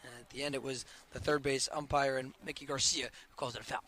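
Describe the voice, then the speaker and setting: Fast, bright American male color commentator. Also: medium pitch, fairly even intonation. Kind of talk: live sports commentary, conversational analysis.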